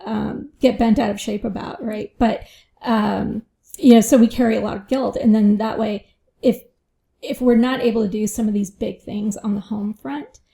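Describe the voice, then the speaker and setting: A woman's voice: a little high, fairly even intonation, normal speed, creaky, warm tone. A woman financial advisor answering interview questions in a reflective way, often adding "you know" and "right?".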